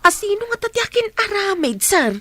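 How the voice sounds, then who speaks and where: A woman playing a chatty, fussing, protective older household woman in an audio drama, exclamatory and animated. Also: very high voice, moderate pace, exaggerated voice. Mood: alarmed, insistent.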